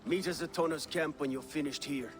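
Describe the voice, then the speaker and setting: A male actor as a wry, needling Viking warrior in a game drama. His voice sits a little high, delivered tersely over clearly audible music. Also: brisk, natural voice. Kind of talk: dramatic video game acting.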